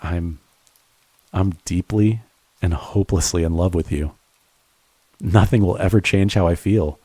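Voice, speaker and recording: A man playing a devoted friend turned lover in an intimate romantic audio roleplay, speaking earnestly and haltingly to the listener. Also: low-pitched, dark tone, slightly rough, no echo. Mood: tender, teasing. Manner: halting, earnest, with stammered repeats.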